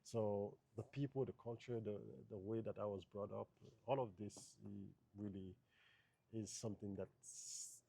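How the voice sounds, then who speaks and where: A man's voice: on the low side, steady tone, unhurried, mellow, a little hiss or hum, poor audio. A man from Haiti, in his thirties, answering interview questions in a thoughtful, hesitant way with many fillers and restarts.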